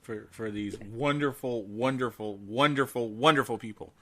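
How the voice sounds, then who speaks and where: Bright, forceful man co-hosting a podcast. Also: medium pitch, normal speed. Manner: emphatic, repeats phrases for emphasis. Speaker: podcast co-host. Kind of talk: casual horror news chat.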